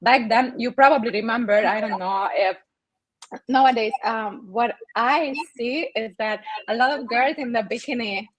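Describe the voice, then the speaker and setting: A young woman with a Hungarian accent, a native Hungarian speaker, telling her story as a podcast guest in an animated way. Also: on the high side, normal speed, poor audio. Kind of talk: interview storytelling.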